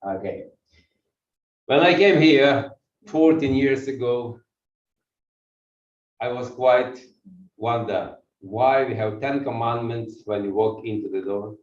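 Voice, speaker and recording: Ukrainian-accented pastor preaching in an echoey church. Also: male voice, mid-range voice, slow, pauses often. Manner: walks while preaching, asks the congregation questions. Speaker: guest pastor. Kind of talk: sermon with audience questions. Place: church hall. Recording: echoey church from far off, dull sound.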